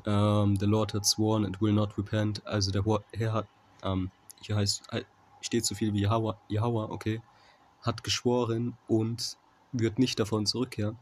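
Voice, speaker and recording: A man giving an informal Bible commentary in a halting manner, often checking in with a short tag question, on a noisy amateur recording. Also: on the low side, level tone, darkish tone. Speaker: Bible teacher.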